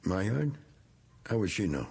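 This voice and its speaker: Deep, gruff American war veteran. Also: male voice, big pitch swings, moderate pace, a little mellow, heavily acted. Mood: wary, gruff. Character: gruff, bitter middle-aged male war veteran. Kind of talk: radio drama acting.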